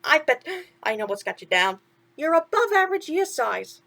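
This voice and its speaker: A woman's voice: high-pitched, very animated, normal speed, creaky, a bit bright, heavily acted. A woman voicing a brash little male mouse from a children's storybook, bossy but encouraging, with slangy, punchy delivery. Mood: knowing, emphatic. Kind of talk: children's storybook character reading.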